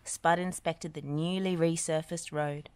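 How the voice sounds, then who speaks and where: A woman reading a children's storybook aloud in an expressive, deliberate way. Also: low-pitched, clear, resonant tone, dry recording. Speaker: audiobook reader.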